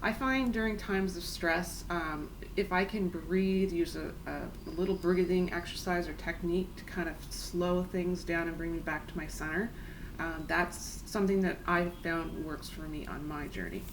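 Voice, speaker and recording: Raspy-voiced woman reading tarot, soothing. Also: lowish voice, fairly even intonation, moderate pace, noisy background. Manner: soothing, chatty, addresses viewers as guys. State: raspy, clearing throat. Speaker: tarot reader. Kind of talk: casual spiritual reading.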